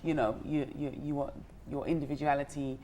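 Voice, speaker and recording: A woman with a low voice answers interview questions in a halting, hesitant way. Noise can be heard in the recording. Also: little pitch movement, dark tone. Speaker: fellowship organiser, interview guest.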